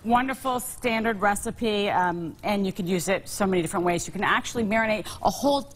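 A woman's voice: mid-range voice, a little fast. A middle-aged woman guest chef giving a cooking demonstration on a TV show, friendly and explanatory with frequent fillers. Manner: friendly explanatory, with many "um"s and "you know"s. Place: TV studio kitchen set.

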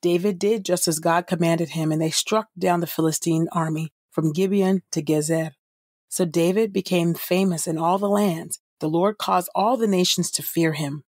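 Female reader for audio Bible: lowish, husky voice, measured delivery, dry close sound. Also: steady tone, darkish tone, no room sound. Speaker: podcast host, scripture reader. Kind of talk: scripted audio Bible reading.

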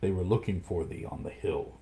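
A man voicing a calm, watchful panther in a low, hushed voice. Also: a little fast, gravelly.

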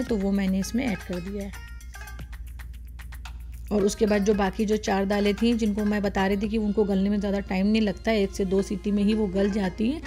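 A woman's voice: mid-range voice, mellow. Chatty woman narrating a cooking demo. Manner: chatty, explains each step, many fillers. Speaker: cooking channel host. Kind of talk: casual cooking demonstration voice-over.

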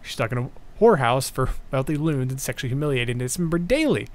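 A man's voice: mid-range voice, expressive, fast talker, no echo. A man giving casual, sarcastic reaction commentary, reading text aloud and reacting with incredulous, sweary asides. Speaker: host of a manga review reaction video. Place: voice-over on a screen recording.

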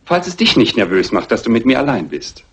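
A man's voice: mid-range voice, very animated, brisk. A man playing a suave, prying, short-tempered schemer in a German film dub, insinuating and needling. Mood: insinuating, irritated.

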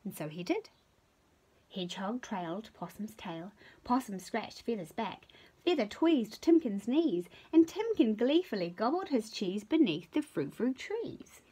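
A middle-aged New woman reads a picture book aloud at home. Her voice is high and very animated, with slow, playful emphasis and hushed touches. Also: breathy edge. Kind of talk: expressive children's story reading. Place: small furnished room at home.

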